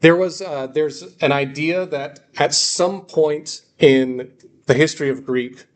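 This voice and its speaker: A man's voice: a little high, slow pace. Man teaching a class, reassuring and informal. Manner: reassuring, often checks understanding with "okay" and "right"; repeats and emphasizes key points. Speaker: language teacher.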